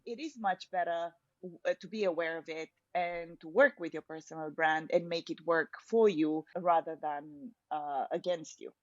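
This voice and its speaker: A woman with a Romanian accent speaks as a guest in an interview, her voice sitting a little low. She feels her way through sentences with frequent pauses and hesitations. The recording is close and dry but slightly dull and lo-fi. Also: no room sound. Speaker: podcast guest, personal branding specialist. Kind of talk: interview answer, recounting personal story.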